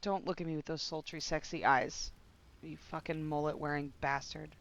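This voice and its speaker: A woman reacting casually to a video game on a livestream, slangy, sweary and expressive. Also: low-pitched, moderate pace.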